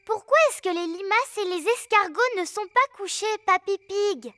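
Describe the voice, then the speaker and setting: Very high-pitched little girl piglet. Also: normal speed, heavy background noise. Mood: curious, friendly. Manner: bright, inquisitive, sing-song. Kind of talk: dubbed preschool cartoon acting.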